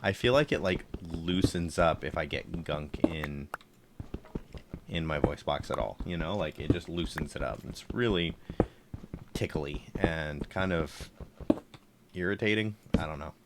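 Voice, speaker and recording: Low-voiced man, congested, streaming. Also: unhurried, very noisy. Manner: chatty, thinks aloud while playing. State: congested, throat tickle. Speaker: game streamer. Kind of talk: casual live gameplay commentary.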